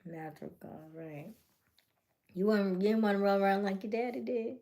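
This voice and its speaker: A woman in her late thirties hosting a casual livestream chat, teasing and playful, slipping into quiet asides. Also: mid-range voice, moderate pace. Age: late 30s. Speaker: livestream host. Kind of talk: casual live chat with viewers.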